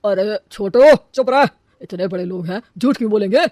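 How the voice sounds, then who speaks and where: A woman's voice: mid-range voice, a little mellow, no echo, clean studio sound, close to an everyday voice. Woman doing a gullible, easily impressed old father. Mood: scolding. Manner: admiring, drawn-out praise. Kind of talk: animated cartoon dialogue.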